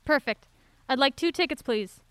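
A woman playing an excitable, resolute heroine on the run in an audio drama, earnest and urgent. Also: high-pitched, moderate pace, dry recording.

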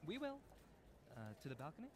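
A man's voice: sits high, big pitch swings, quick pace, heavy background noise. A man playing an eager young film fan in an audio drama, chatting in a friendly, teasing way. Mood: teasing, easygoing. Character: eager young male film fanatic, devoted son and friend.

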